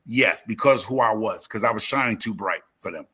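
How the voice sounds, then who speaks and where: A man's voice: on the low side, fairly quick, sharp tone, slightly rough. A talkative man in his fifties chatting casually as a livestream host, emphatic and repeating phrases as he tells motivational stories. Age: 50s. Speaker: YouTube gardening creator and live host.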